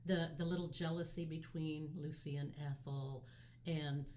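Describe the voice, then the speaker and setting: A woman's voice: deep voice, steady tone, moderate pace, mellow. A woman co-hosting a casual chat, chiming in with folksy, emphatic remarks. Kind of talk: casual chat, short interjections. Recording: clear background room noise.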